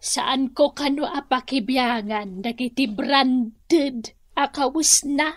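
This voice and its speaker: A woman's voice: sits high, takes it slowly, no echo. A woman with a Filipino accent playing a meek, hurt middle-aged mother in a radio drama, speaking hesitantly. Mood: sad, plaintive. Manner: plaintive, hesitant. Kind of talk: Ilocano radio drama acting.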